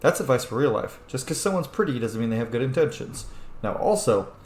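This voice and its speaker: A man's voice: medium pitch, lively intonation, brisk, a little mellow, reverberant, slight background noise. A man giving casual, informal playthrough commentary while role-playing a video game, reacting and swearing freely.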